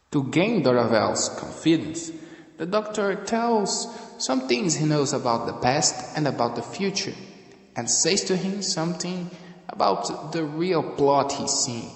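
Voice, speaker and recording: A man giving scripted story narration for an amateur audio drama. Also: fairly high pitch. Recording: echoey room with long reverb.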